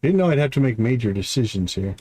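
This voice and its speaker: A man's voice: mid-range voice, expressive, quick pace, warm tone. A man livestreaming a video game, casually thinking aloud as he plays. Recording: clear background noise.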